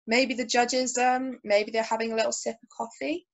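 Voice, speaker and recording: Chatty, high-voiced woman podcast guest. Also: moderate pace. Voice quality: high-pitched voice. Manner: animated, laughs often, gets hyped up. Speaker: podcast guest, grappling commentator. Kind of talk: casual chat.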